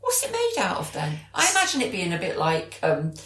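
Lively, inquisitive woman in an echoey room. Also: on the low side, a little fast, vocal fry. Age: adult. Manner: inquisitive, informal. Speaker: podcast host. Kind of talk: casual chat.

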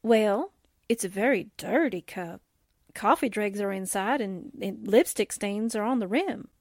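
A woman's voice: medium pitch, animated, measured pace, darkish tone, no room sound, studio quality. A woman playing a perky, naive, folksy newspaperwoman sidekick in 1940s radio drama style, guileless and exclaiming. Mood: puzzled, wondering.

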